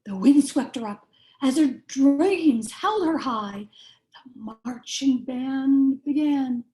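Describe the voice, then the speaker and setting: A woman reading a children's storybook aloud in a measured, expressive storytelling manner. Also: high voice, rough recording. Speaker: cantor reading a bedtime story.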